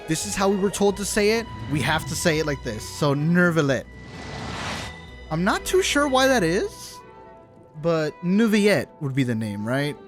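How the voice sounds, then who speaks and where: A man livestreaming a game, chatting informally and rambling to his chat in slangy commentary. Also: fairly high pitch. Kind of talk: casual live commentary to chat. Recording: game music behind the voice. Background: video game music.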